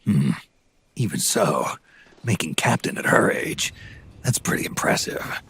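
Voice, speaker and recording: An aging soldier for a game cutscene. His voice is low and heavily rasped, full of gravel. He speaks in terse, dry, skeptical phrases, weary of the world. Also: sing-song, unhurried, a bit bright, full character voice. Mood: dry, curious. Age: older. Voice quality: gravelly, husky rasp. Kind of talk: video game cutscene acting.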